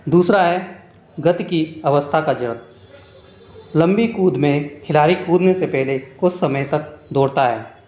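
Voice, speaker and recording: A man's voice: on the high side, a little slow, a little mellow. A man narrating a scripted lesson as an exam-prep teacher, reading out points one by one in an instructional way. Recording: echoey room.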